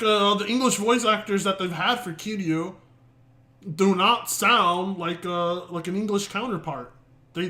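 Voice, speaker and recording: A man's voice: high-pitched, pushed and bright, echoey room. A man streaming and reacting casually, rambling with many hesitations, swearing and laughing often.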